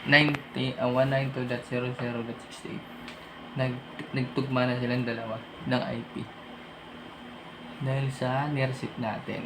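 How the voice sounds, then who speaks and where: Filipino guy walking thru setup steps, creaky voice, talks it out casually, noisy amateur recording. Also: mid-range voice, level tone, moderate pace. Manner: casual, thinking aloud step by step. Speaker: tech tutorial presenter. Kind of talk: informal hands-on tutorial.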